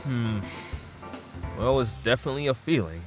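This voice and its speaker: A man acts a detective's curious young son in an audio drama. His mid-range voice moves up and down freely, casual and slightly hesitant, over clear background music. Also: medium pitch, lively intonation, moderate pace, lightly acted. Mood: thoughtful, intrigued.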